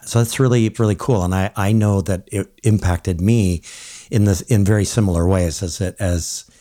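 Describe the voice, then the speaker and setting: Male podcast host from Hawaii, deep mellow voice, easygoing reflective questions. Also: fairly even intonation, moderate pace. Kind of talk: podcast interview.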